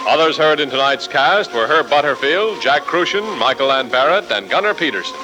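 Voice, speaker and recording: A man's voice: a little high, expressive, fairly quick, rough recording. An American man announcing in scripted 1940s radio style. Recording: old radio recording with loud music. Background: music.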